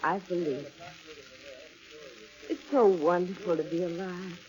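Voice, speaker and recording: A woman's voice: fairly low pitch, measured pace, darkish tone, close to an everyday voice. A woman playing a fragile, haunted young woman, pleading and tremulous in 1940s radio melodrama. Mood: weak, dazed. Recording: noisy, muffled old radio recording.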